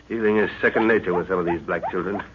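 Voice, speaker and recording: An actor playing an older professor and explorer, a concerned father with a deliberate, authoritative manner, in an old radio adventure. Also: low voice, steady tone, natural voice. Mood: worried. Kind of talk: 1940s radio adventure acting. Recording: muffled, hissy old radio recording.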